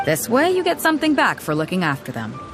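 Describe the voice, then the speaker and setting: A woman's voice: medium pitch, huge pitch swings, fast talker. A woman voicing a confident female ship captain who talks in a breezy, commanding way. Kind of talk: game voice acting. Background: game music.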